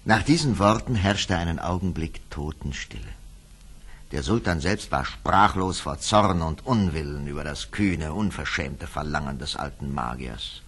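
A man narrates a fairy tale in a low, husky voice. His intonation rises and falls widely. The delivery is theatrical, measured storytelling. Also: a bit bright.

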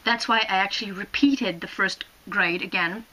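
A young Polish woman with a crisp, bright tone speaks English with a slight Canadian lilt. She chats casually into a camera from a small room, gesturing a lot and often repeating words as she restarts sentences. The voice sits at an ordinary pitch for a woman. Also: moderate pace, rough recording. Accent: Canadian-tinged English. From Poland. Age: young adult. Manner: animated, gesturing, frequent restarts and laughs. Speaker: vlogger. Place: small room indoors, sitting on a bed.

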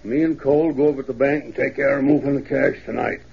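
An actor playing an authoritative small-town banker with a rural Western American accent in an old radio drama. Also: male voice, medium pitch, fairly even intonation, fast, gravelly, husky. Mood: businesslike. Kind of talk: 1930s radio drama acting. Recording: muffled, lo-fi 1930s radio recording.

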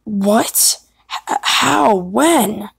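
A woman voicing a bold, earnest warrior cat for an amateur cartoon audition, speaking deliberately. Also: medium pitch, creaky, a little mellow, no room sound, studio quality. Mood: shocked. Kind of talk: amateur fan animation audition lines.

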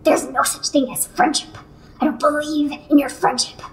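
A woman playing a gruff, snappish, contemptuous man who is turning into a rhinoceros, in a radio play. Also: high voice, steady tone, normal speed, lightly acted. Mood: misanthropic, bitter. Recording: roomy with faint noise and clicks.

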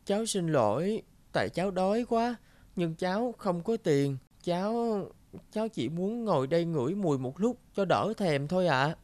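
A woman voicing a timid, polite homeless orphan boy in an animated moral tale, speaking earnestly and a little hesitantly. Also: on the low side, normal speed, no echo, natural voice. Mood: timid, hungry. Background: music.

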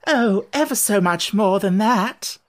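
Man voicing a high, moaning, fretful queen. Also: lively intonation, a bit bright. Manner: moaning, plaintive, drawn-out. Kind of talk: audiobook character voice.

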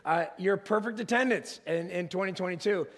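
A middle-aged male pastor with a gravelly, hoarse voice, preaching an animated sermon from a church stage. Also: sits high, fairly quick, slight background noise. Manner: animated, gesturing while pacing the stage, rising to emphatic peaks. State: hoarse, voice strained. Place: large church stage with an audience.

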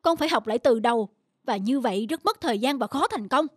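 Cartoon mother: high, emphatic, disapproving and proud. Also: lively intonation, fast, slightly rough, no room sound, studio quality. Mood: disapproving, stern. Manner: scolding, emphatic. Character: stern middle-aged mother, proud and disapproving. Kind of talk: animated cartoon dubbing.

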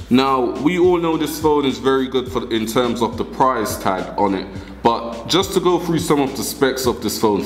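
Chatty young man hosting a casual unboxing. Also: mid-range voice. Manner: chatty, addresses viewers as guys. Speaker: tech channel host. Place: indoor room at a wooden table.

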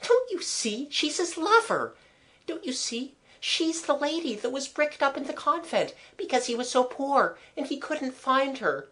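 Lady putting on a scornful excitable little girl, way higher voice, emphatic, storybook. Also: unhurried, heavily acted. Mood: scornful, thrilled. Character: scornful, romantic English schoolgirl, excitable.

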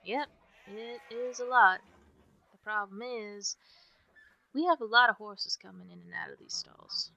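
A woman's voice: on the high side, measured pace, creaky, long pauses, bright, forceful tone, exaggerated voice. A woman playing an easygoing young ranch hand in an ASMR roleplay, chatting in a folksy way to a newcomer. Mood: easygoing, teasing. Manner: folksy, drawling phrasing with ain't and gotta. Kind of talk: ASMR roleplay, one-sided chat. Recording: background noise with farm animal ambience.

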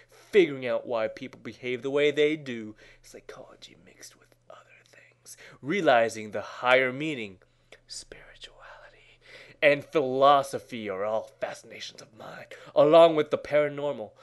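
Man reading slowly with swooping mock drama. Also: mid-range voice. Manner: theatrical, overwrought, with long dramatic pauses; swings between hushed whispers and loud declaiming.

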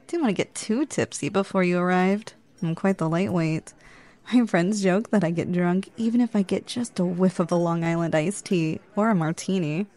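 A woman's voice: fairly low pitch, normal speed, warm tone, natural voice. Flirty, teasing woman acting on a date. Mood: teasing, coy. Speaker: ASMR roleplayer. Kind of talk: ASMR roleplay.

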